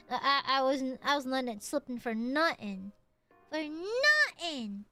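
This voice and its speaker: High, lively, nasal young woman streamer. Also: a little slow, faint music behind. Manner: rambling, giggly, swears casually. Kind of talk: casual stream chat with viewers.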